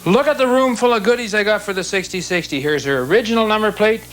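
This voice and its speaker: A man's voice: high-pitched, quick pace, fairly bright tone, slight background noise. A man in his fifties who chats eagerly and casually while he shows off his collection. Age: 50s. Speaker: locomotive restorer. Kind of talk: casual chat.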